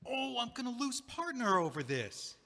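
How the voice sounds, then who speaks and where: A man's voice: extremely high pitch, very animated, normal speed, bright, forceful tone, heavily acted. A man playing a flustered, heartbroken lawyer. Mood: panicked. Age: 30s. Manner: flustered, stammering, pleading. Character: heartbroken male lawyer, anxious, self-pitying. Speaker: estate lawyer. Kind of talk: stage audio play acting. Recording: long hall reverb.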